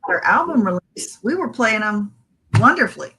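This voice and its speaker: A woman's voice: medium pitch, big pitch swings, bright, forceful tone, breathy edge. A woman musician in a casual interview, talking about recording and laughing easily at jokes. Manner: laughs often, quick to joke. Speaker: musician guest.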